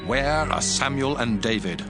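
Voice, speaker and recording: A man's voice: mid-range voice, lively intonation, unhurried, over music. A man playing a wrathful, domineering king in a dramatized audiobook, commanding and accusing. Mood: demanding.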